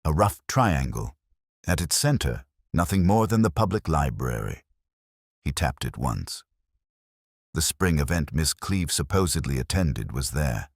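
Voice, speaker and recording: A man's voice: very deep voice, sing-song, a little slow, dry recording, clean studio sound. A man narrating a detective story in a scripted audiobook style.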